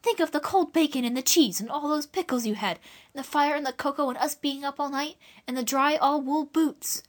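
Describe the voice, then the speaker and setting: Woman narrator as a coaxing girl; lifted pitch, gently persuasive. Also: expressive, fast talker, hardly any pauses.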